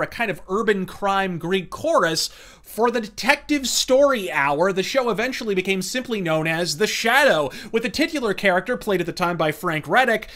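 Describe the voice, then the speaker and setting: A man with a high, sharp, forceful voice narrates a scripted video essay. He piles up florid phrases and swears as he goes, in the role of an opinionated reviewer. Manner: florid wordy delivery, profane. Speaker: reviewer and host.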